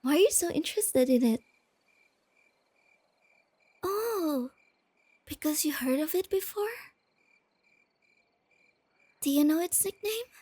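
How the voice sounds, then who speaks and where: A woman playing a shy, nerdy young girlfriend in a soft-spoken ASMR roleplay, sweet and earnest, explaining things gently and without hurry. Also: sits high, animated, slow pace, pauses often, no room sound. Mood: playful, fond.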